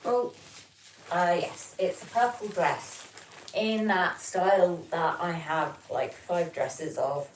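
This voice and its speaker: British female streamer, low register, informal and chatty, recorded at a distance with background noise. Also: takes it slowly. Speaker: livestream host. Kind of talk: casual livestream chat, reading out viewer comments. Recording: roomy, distant mic, noticeable background noise.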